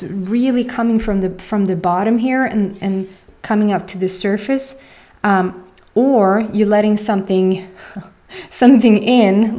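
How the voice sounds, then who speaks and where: A woman's voice: medium pitch, warm tone. A young woman with a Swedish accent, a native Swedish speaker, giving a casual tarot reading and often searching for her words. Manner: rambling, searching for words, many fillers. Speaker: tarot reader.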